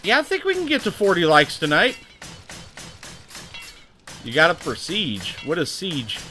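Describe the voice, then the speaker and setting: A man's voice: fairly high pitch, wildly swooping pitch, unhurried, pushed and bright, soft background music. An American man hosting a gaming livestream, chatty, laughing often and swearing when something surprises him. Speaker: livestream host. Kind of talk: casual gaming livestream chat.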